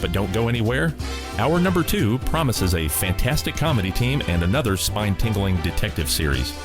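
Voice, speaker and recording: A very deep-voiced man presenting a vintage radio program in a smooth, scripted way. Loud guitar music plays behind him the whole time. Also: big pitch swings, fairly quick. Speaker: host of a classic radio rebroadcast.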